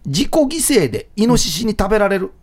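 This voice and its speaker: A man's voice: high-pitched, sing-song, fairly quick, darkish tone. A man hosting a casual radio show, playfully reading listener submissions and spinning a mock-solemn fable. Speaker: radio host.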